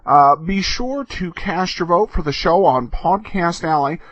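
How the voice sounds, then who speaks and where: An American man hosting a podcast, giving a chatty scripted introduction in an announcer style with hesitant pauses. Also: on the high side, a bit bright, no room sound, dull audio. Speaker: podcast host.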